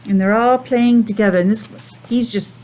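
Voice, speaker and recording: A woman's voice: fairly high pitch, moderate pace, a little hiss or hum, rough recording. A woman teacher reading a picture book aloud to young children, with chatty asides and simple questions. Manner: animated, addressing small children with simple questions.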